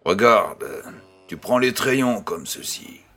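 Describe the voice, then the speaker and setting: A man's voice: fairly low pitch, lively intonation, slow, vocal fry, sharp tone, slight background noise, full character voice. An actor playing an affectionate old farmer grandfather, patient and encouraging, in a French audio drama.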